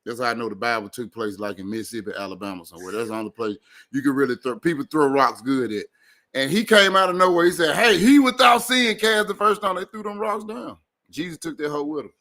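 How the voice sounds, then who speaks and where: Man, Memphis accent, bright forceful voice, comic storyteller, co-host. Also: a little high, fast. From Memphis, Tennessee. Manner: animated joke-telling storyteller. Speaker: comedian co-host. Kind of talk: casual comedic podcast chat.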